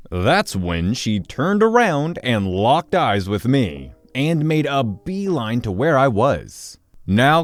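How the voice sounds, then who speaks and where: A man narrating a story he read online, in an animated storytelling manner. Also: mid-range voice. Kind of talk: reading an online story aloud. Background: soft background music.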